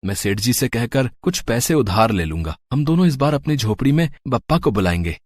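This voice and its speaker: A man's voice: medium pitch, very animated, fairly quick, a little mellow. A man voicing a poor, humble, devout servant in a cartoon moral story, speaking hesitantly and deferentially. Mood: earnest.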